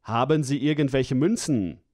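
A man's voice: medium pitch, moderate pace, a little mellow, no echo, studio quality. A man reading phrases for a language course, splitting and repeating them with careful enunciation. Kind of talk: slow, clear phrase-by-phrase repetition.

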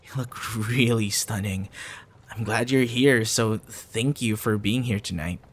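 A young man playing a tender, hesitant suitor in an intimate ASMR boyfriend roleplay, speaking softly and haltingly. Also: lowish voice. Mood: shy, pleased. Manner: soft, hesitant, with sighs and chuckles. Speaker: audio roleplay voice actor.

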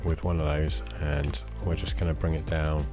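A man, slightly hoarse from losing his voice, casually talking through his city-building game with lots of fillers. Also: extremely low pitch, normal speed. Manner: relaxed, self-deprecating, many fillers. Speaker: let's play host. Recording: music playing behind the voice.

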